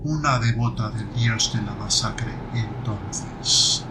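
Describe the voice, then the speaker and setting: A man playing a skeptical veteran Iron Warrior who speaks in a slow, wary, questioning way. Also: fairly low pitch, background noise.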